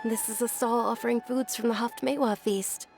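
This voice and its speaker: Casting: composed young game heroine, soft and polite, fairly high voice with some vocal fry. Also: female voice, fairly even intonation, normal speed, faint music behind. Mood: calm, polite. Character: gentle, polite young woman, composed and reassuring. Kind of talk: video game voice acting.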